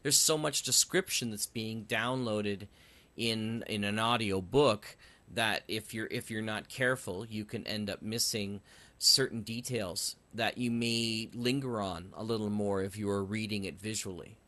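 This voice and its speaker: A man's voice: fairly low pitch, steady tone, a little slow, sharp tone. A man hosting a casual, conversational interview. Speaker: interviewer, podcast host.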